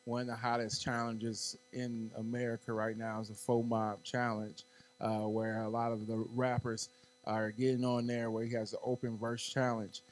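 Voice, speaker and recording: Laid-back podcast host. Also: male voice, medium pitch, level tone, faint music behind. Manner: laid-back, agreeable, quick backchannels. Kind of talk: casual podcast interview.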